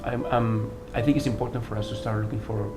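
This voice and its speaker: A man from Venezuela with a Venezuelan accent, speaking English in an earnest, halting way with many restarts while he tells his story. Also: fairly low pitch, fairly even intonation. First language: Spanish. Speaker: artistic director of a dance company. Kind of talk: personal testimony interview. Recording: roomy sound with music under it.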